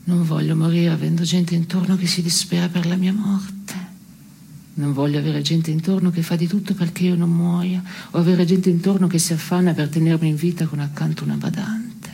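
An actress playing a bitter, irritable woman in an absurdist radio theatre dialogue, speaking in a terse, deadpan way. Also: lowish voice, a little fast, gravelly, a little hiss or hum. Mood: grave.

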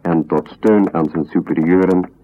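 A deep bureaucrat reading a ruling in a radio play, stiff and formal, muffled sound. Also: male voice, steady tone, slight background noise. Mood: formal, detached. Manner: formal, measured, detached. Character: impersonal bureaucratic reader of an official ruling. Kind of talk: formal reading of an official document. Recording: dull 1970s radio recording.

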